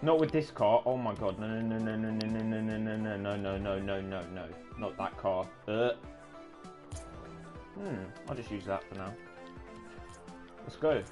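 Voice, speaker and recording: A man's voice: fairly low pitch, unhurried, long pauses, music in the background. A man in his twenties streaming a game, chatting casually with his viewers between plays. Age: 20s. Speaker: gaming streamer. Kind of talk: casual live-stream chat while playing.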